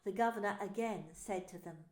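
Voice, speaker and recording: A woman's voice: mid-range voice, moderate pace, darkish tone. A woman minister narrating a dramatised scripture story, telling it expressively. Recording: roomy echo.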